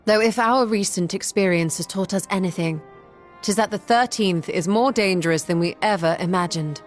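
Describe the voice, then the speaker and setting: A woman's voice: mid-range voice, moderate pace, lightly acted. A woman playing a composed, cautious, learned woman in a game cutscene, speaking formally and with measure. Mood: grave, thoughtful. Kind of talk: video game cutscene acting. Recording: music behind.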